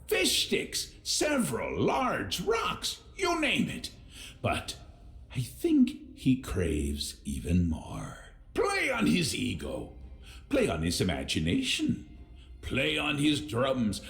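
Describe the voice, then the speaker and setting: An actor playing a gleefully mad, whimsical immortal trickster lord in a video game, with erratic theatrical delivery. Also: male voice, a little high, gravelly, reverberant, full character voice. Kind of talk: video game character acting.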